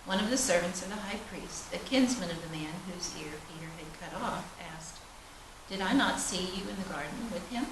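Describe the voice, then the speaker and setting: A woman's voice: on the low side, moderate pace. A woman reading scripture aloud in a solemn style at a church service. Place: large indoor church space. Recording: roomy, noisy, distant church recording.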